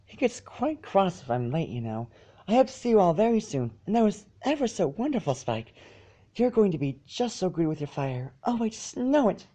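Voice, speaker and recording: A man voicing a shy, sweet, gushing female pegasus pony in a soft, gentle, polite way. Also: high voice, sing-song, normal speed, pitched above the performer's own voice. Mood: polite, mildly worried.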